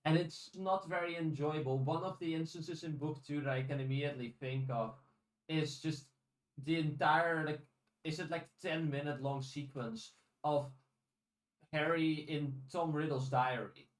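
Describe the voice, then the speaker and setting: A man's voice: a little high, moderate pace. A man co-hosting a podcast in casual, rambling discussion, often paraphrasing in dialogue. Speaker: podcast co-host. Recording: roomy, echoey sound.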